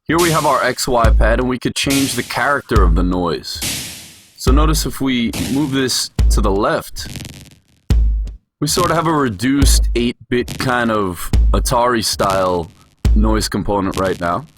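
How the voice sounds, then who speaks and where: A man's voice: medium pitch, unhurried. A man giving an explanatory voice-over tutorial as a music production instructor.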